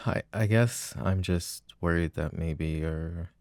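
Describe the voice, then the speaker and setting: Boyfriend role for a male actor: very low, gravelly, slow and halting, loving yet jealous, intimate ASMR roleplay. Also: darkish tone, no room sound, heavily acted. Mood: worried, insecure. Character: loving but jealous, uneasy young boyfriend.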